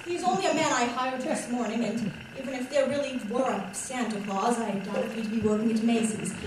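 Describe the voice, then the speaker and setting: A young woman playing a brisk, polite but firm 1940s store manager in a radio-style stage drama. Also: a little high, natural voice. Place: stage in a large echoing hall. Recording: echoey hall from far off, faint noise.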